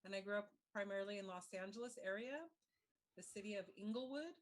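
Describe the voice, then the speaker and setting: A woman's voice: medium pitch, fast talker. Woman speaking carefully on a panel. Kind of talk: panel discussion.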